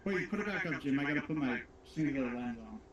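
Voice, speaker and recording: A man's voice: fairly high pitch, lively intonation, quick pace, fairly bright tone, dull audio, slight background noise. Joking man in an echoey room. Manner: joking, chatty. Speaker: livestream co-host. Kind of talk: casual chat.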